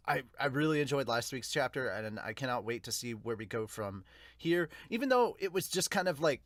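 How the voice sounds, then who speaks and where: A man hosting a manga reaction show in a casual, excitable, rambling way. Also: medium pitch, no room sound. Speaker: podcast host reviewing manga.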